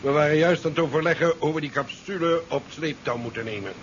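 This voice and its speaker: Through a dull, hissy old radio recording comes a man playing a stern ship's commander. His somewhat high voice is measured and authoritative, with dry disapproval. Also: fairly bright tone, close to an everyday voice. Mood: dryly critical. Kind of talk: 1970s Dutch science fiction radio drama acting. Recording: muffled, noisy 1970s radio recording.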